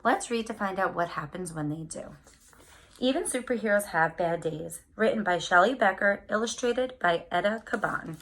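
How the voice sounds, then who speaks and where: A woman with a very bright, forward voice at a medium pitch reads a picture book to young children. She addresses her listeners directly and leans on key words, stretching them out with animated storyteller expression. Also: normal speed, a little hiss or hum. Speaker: read-aloud storyteller for children.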